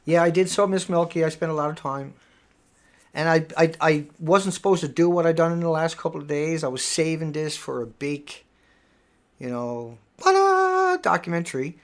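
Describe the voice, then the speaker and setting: Gravelly man rambling on a live stream. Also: on the high side, expressive, moderate pace. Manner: rambling, emphatic, repeats words. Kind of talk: casual live stream monologue.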